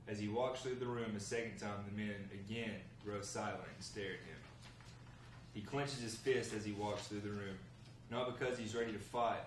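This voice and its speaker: A man reading scene descriptions and camera directions as scripted narration at a table read. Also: on the low side. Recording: echoey room, distant mic, faint noise.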